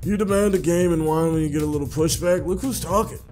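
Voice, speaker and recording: A man's voice: on the high side, quick pace, a little mellow, faint music behind, lightly acted. A man voicing a condescending, taunting rival pro gamer from a visual novel game. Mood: scornful.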